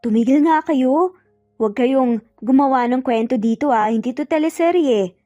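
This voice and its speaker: Woman playing startled mother, high pitch, mellow, emotive, story drama, faint music. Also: moderate pace, no echo, lo-fi sound, close to an everyday voice. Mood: scolding. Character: frail, startled mother waking in hospital, later scolding.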